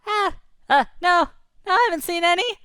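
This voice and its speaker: Woman putting on a squeaky, excitable tiny anchovy. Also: normal speed, dry recording, clean studio sound, heavily acted. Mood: nervous. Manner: breathless, stammering, repeating themselves.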